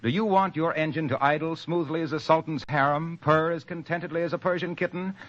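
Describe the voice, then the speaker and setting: A man's voice: on the high side, steady tone, fairly quick, a bit bright, lightly acted. An actor playing an exuberant salesman in a 1940s radio commercial, with flowery, emphatic patter. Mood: exuberant, boastful. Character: exuberant, fast-patter spark plug pitchman. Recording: muffled old radio broadcast.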